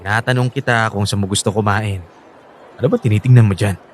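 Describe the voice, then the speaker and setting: An actor playing an upbeat, reassuring young husband in a Filipino radio drama, coaxing and encouraging with a Filipino accent. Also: male voice, fairly low pitch, steady tone, fast, a little hiss or hum, natural voice. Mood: casual, tender. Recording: music bed under the voice.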